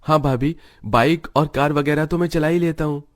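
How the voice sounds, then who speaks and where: A man's voice: on the high side, moderate pace, pitched above the performer's own voice, close to an everyday voice. A man voicing a willing, respectful young student just back home in a cartoon story. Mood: agreeable. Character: willing, respectful young brother-in-law fresh from college.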